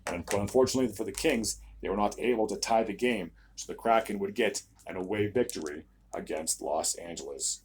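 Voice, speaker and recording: A young man hosting a solo hockey recap video, his voice a bit raspy from recording several videos in a row, stumbling over player names and filling gaps with "uh". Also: on the low side, brisk, hardly any pauses, a little hiss or hum. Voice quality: slightly raspy, tired voice.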